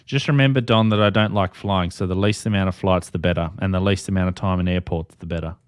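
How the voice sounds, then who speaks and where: An Australian man with a low, smooth voice talks casually as a host. His delivery is chatty and informal, and the recording is dry and close. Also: fairly even intonation, quick pace, no room sound. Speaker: host of a ham radio livestream. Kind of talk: casual group chat.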